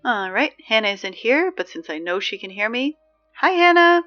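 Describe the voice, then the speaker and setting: A woman's voice: on the high side, sing-song, normal speed, highs cut off, faint music behind. A woman playing a sarcastic, exasperated teenage girl who reads school announcements in a casual, conversational audio drama style. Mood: wry, chatty. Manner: snarky, conversational, dry.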